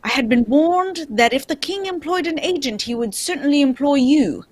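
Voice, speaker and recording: A woman playing a shrewd male detective with a husky, theatrical delivery in an amateur radio drama. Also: high voice, expressive, normal speed. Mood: measured. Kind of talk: amateur old-time radio drama reading.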